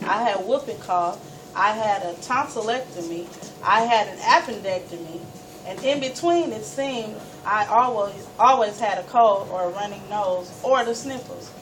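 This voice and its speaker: A woman storyteller reading a first-person story aloud with dramatic, emphatic delivery and deliberate pauses. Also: medium pitch, a bit bright. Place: live event space, recorded from a distance. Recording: distant mic, noisy room ambience.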